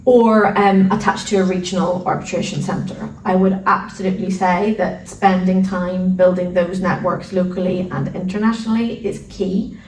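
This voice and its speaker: Irish woman, measured and articulate. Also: medium pitch, fairly even intonation, darkish tone. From Ireland. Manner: measured, articulate, occasional repeated words. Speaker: arbitration lawyer, guest. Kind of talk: interview answers. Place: residing in London. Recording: roomy sound, distant mic, faint noise.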